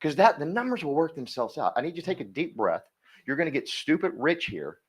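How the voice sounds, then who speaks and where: A man leads a workshop in front of a live room, his pitch swinging up and down as he teaches. He is energetic and folksy, constantly quizzing the crowd and drawing answers out of it. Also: fairly quick, breathy edge. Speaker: workshop coach. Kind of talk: interactive seminar teaching.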